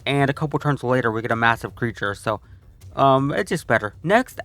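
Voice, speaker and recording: Young man, congested and stuffy, casual deck guide host, rambling with ums, slightly high voice, dry close sound. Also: no echo. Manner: relaxed, rambling, frequent ums and restarts. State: congested from illness. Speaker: video host.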